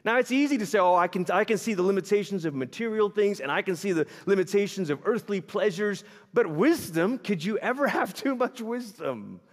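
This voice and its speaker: A man's voice: high-pitched, fairly quick, few pauses. Man preaching in a reverberant hall. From Malaysia. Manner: animated storyteller, self-deprecating humor. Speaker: pastor. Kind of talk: sermon with humorous stories. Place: church sanctuary. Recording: long reverb of a large hall.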